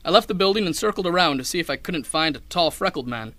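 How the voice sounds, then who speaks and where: A young man playing a hard-boiled, wry detective who narrates a 1930s noir radio drama in a deadpan way. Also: a little high, fast talker, dry recording. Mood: wry narration. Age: young adult. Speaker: narrator and lead actor.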